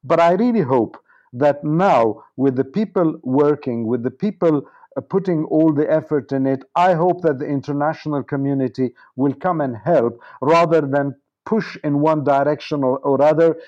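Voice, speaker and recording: A man's voice: fairly high pitch, normal speed, a little mellow, muffled recording. A man speaking as an interview guest, giving earnest, discursive political commentary with emphatic lists and repetition.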